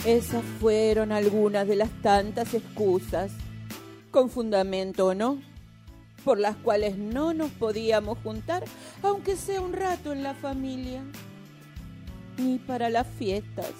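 A woman's voice: a little high, measured pace, over music, close to an everyday voice. A woman playing a sly, gleeful older Argentine mother in a radio drama, with a theatrical, mock-sweet manner. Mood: wry reproach. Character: sly, gleeful older mother reading her mocking farewell letter.

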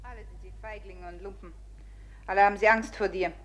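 A woman's voice: medium pitch, steady tone, brisk, fairly bright tone, lightly acted. An actress playing a proud, fatalistic woman in a German radio drama, with cutting, theatrical delivery. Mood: weary, fatalistic. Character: proud, scornful, fatalistic Russian woman tormenting her suitor.

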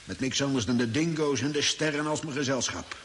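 An actor playing an easygoing old desert hermit in a radio drama, folksy and hesitant in his unhurried talk. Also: mid-range voice, fairly bright tone, lightly acted. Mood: reminiscing. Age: older. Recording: hissy old radio recording with long reverb.

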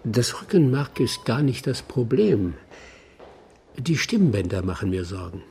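Assured, lively male doctor. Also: mid-range voice, unhurried, creaky, breathy edge, full character voice. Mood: clinical. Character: assured, jovial male doctor, brisk and clinical. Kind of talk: German radio crime drama dialogue.

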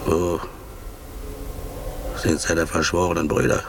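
A fanatical old-world cobbler standing guard with a cudgel, grim and zealous. His delivery is slow and gravelly, full of brooding menace. It is a noisy 1950s radio drama recording. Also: low voice, little pitch movement. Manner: brooding, halting, rising to ranting zeal.

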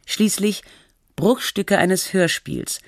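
A performer playing a sober radio documentary narrator, reading a scripted feature in a measured, factual, reflective way. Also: medium pitch, vocal fry, no echo, studio quality. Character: sober documentary narrator telling an artist's life.

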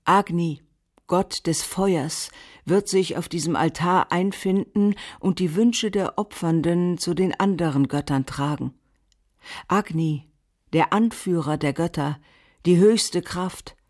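A woman giving solemn, deliberate scripted narration of a ritual in a radio drama. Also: on the low side, a little mellow.

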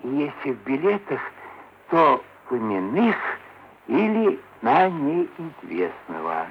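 A pompous, wheedling official in a classic stage comedy. His gravelly voice lingers over every courtesy with oily deliberation, rising and falling theatrically. The old recording is dull, with a faint hiss. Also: mid-range voice, very animated. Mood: unctuous. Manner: unctuous, pompous, deliberate officialese with coaxing diminutives. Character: oily scheming merchant's son-in-law, pompous, wheedling, middle-aged official.

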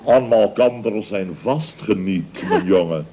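An actor playing a blustering, jovial boss in a radio comedy, stammering and exclaiming in mock-formal phrases. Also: male voice, sits low, unhurried, a little hiss or hum. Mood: embarrassed. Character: blustering, jovial male boss of a building firm. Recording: dull old radio recording.

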